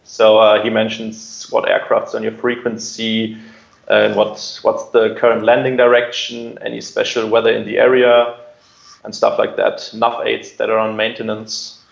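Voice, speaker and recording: Man, gravelly creak, conversational explaining, roomy echo, dulled audio. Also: on the low side, completely flat delivery, moderate pace, dull audio. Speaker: air traffic controller, interview guest. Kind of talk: conversational interview answers, explaining.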